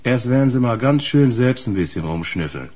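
An actor playing a gruff, wary truck driver in a radio crime drama, talking in blunt, colloquial slang. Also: male voice, mid-range voice, lively intonation, a little fast, a little mellow, a little hiss or hum, natural voice. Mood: sour, grumbling.

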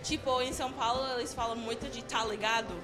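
A young American woman, a native English speaker, answering interview questions with a friendly laugh and halting learner's phrasing, speaking into a handheld mic on an indoor studio set. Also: a little high, fairly even intonation, music in the background. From United States. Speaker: guest youtuber. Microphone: handheld mic held by interviewer.

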